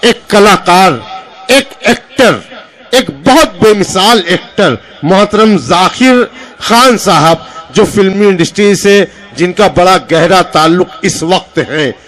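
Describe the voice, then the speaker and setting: A man's voice: sits high, slow, fairly bright tone. A man hosting a poetry evening, announcing poets formally and calling on the crowd to applaud. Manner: formal introductions and rousing calls for applause. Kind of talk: stage announcing.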